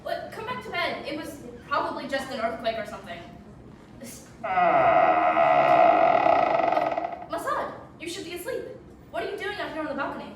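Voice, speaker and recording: A young woman acting a sharp-tongued, sarcastic wartime mother in an amateur radio drama, bickering and exasperated. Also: high-pitched, sing-song, fast. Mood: agitated, sarcastic. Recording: echoey room, distant mic, noisy.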